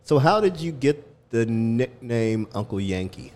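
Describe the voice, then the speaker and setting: A man's voice: medium pitch, big pitch swings, a little slow, a little mellow. A man co-hosting a casual talk show, asking curious, playful questions. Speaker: co-host. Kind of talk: casual chat.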